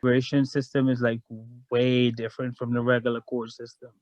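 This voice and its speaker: A man's voice: medium pitch, completely flat delivery, fairly quick, darkish tone, no room sound, lo-fi sound. A man from Guyana, a guest in a casual chat, telling a long story in a frank, rambling way.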